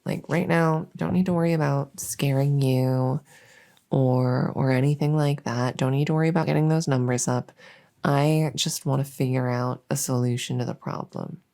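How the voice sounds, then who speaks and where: A woman playing a needy, exasperated but harmless female monster under the bed, rambling and stammering softly, often in whispers, in an ASMR audio roleplay. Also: extremely low pitch, steady tone, moderate pace, vocal fry. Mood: exasperated, pleading. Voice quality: soft, often breaking into whispers. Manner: rambling, stammering, pleading, silly.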